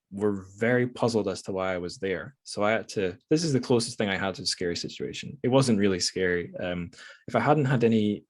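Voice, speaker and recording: Low-voiced man talking books. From Scotland. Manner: hesitant, self-correcting, rambling. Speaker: podcast host. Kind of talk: casual book discussion.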